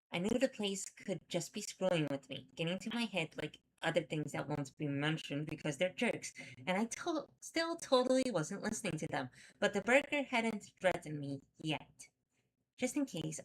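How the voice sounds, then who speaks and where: A woman's voice: on the low side, a little hiss or hum, low-quality recording. A woman voicing an exasperated, stubborn, sarcastic heroine who narrates a horror webcomic in the first person. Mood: wry, wondering. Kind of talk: dramatic first-person comic readalong narration.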